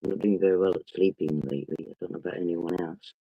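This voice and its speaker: A man's voice: deep voice, fairly even intonation, quick pace, creaky, mellow, no room sound, lo-fi sound. A man from England with a British accent, casually chatting with viewers on a late-night phone stream because he can't sleep. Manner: chatty, greets viewers by name. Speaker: livestream host.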